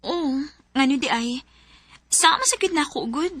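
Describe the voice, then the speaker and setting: A woman's voice: high voice, animated, normal speed, fairly bright tone, dry recording. A young woman acting the lead in a radio drama, emotional and pleading.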